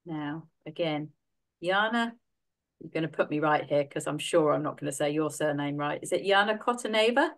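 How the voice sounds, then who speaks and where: A middle-aged woman with a very low voice hosts an online exhibition opening. She guides her listeners gently, with frequent fillers, over a webcam on a video call. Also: lively intonation, normal speed. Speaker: mentor and event host.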